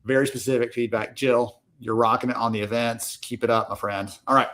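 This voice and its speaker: A man from the Southern US co-hosts an online event over a video call. He announces plans in a friendly, brisk way and teases his partner now and then. His voice sits at an ordinary middle pitch. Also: level tone, fairly bright tone. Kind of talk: casual livestream chat.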